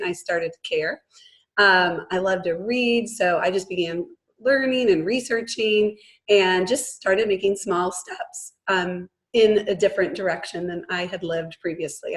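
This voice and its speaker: Hoarse woman telling her story. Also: lowish voice, low-quality recording. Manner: earnest storytelling with frequent "um". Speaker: guest speaker on a business call. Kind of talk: personal testimonial.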